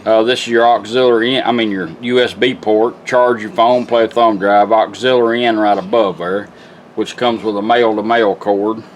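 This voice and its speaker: A man's voice: on the low side, steady tone, moderate pace, a little hiss or hum. A middle-aged man giving a casual, plainspoken product demonstration at a workshop bench, like a folksy repairman. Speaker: car radio restorer demonstrating a product. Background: music playing from the radio being demonstrated.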